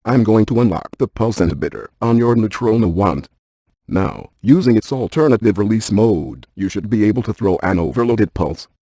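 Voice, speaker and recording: A man playing an analytical ghost-hunting scientist in a video game, speaking in a precise, technical, deadpan way. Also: on the low side, normal speed, husky, no room sound. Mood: matter-of-fact, grave. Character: earnest, analytical male scientist ghost hunter, matter-of-fact.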